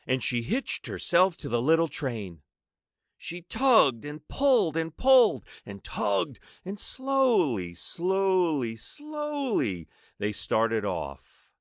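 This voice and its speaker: A man's voice: fairly high pitch, wildly swooping pitch, takes it slowly, dry recording, clean studio sound. A man narrating a children's story expressively, with dramatic emphasis as an audiobook narrator.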